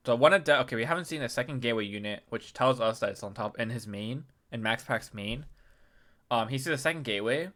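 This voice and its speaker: Bright-voiced man with a nasal cold. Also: mid-range voice, fast. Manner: chatty, clears throat often, restarts. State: sick, slightly nasal. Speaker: YouTube host analyzing a game replay. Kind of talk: casual game analysis commentary.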